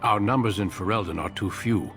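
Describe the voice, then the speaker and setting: A seasoned, middle-aged warrior commander from a fantasy video game, acted by a man. His voice sits on the low side and he speaks formally, with solemn, wary care. Faint music plays behind him. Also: a little fast, close to an everyday voice. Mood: solemn, measured.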